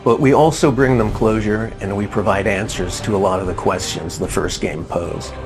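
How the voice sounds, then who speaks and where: Low-voiced man in developer interview. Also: moderate pace. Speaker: game developer. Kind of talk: promotional interview. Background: music.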